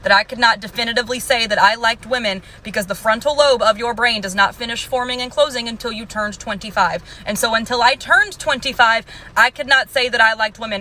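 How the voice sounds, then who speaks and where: A woman's voice: on the high side, sharp tone, a little hiss or hum. A woman in her twenties telling a sarcastic, emphatic story to camera. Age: 20s. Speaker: TikTok creator.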